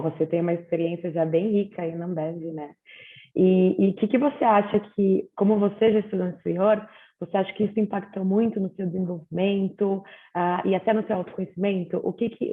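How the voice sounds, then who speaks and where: Brief: woman host, lowish voice, measured with fillers, phone-like audio. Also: vocal fry. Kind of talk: webinar interview. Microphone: video call. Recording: tinny phone-like video call.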